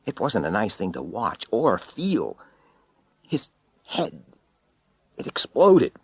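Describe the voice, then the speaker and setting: A man's voice: on the low side, wildly swooping pitch, slow pace, husky, dry recording, full character voice. A man voicing a bitter, haunted former astronaut in an audiobook story, wry, weary and intense. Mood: anguished, urgent. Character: bitter, haunted male former astronaut recounting his ordeal.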